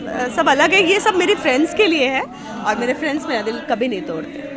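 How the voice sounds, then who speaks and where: High-pitched woman chatting over crowd chatter. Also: very animated, a little fast. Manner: informal, giggly, often laughing. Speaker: singer being interviewed. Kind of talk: casual interview chat.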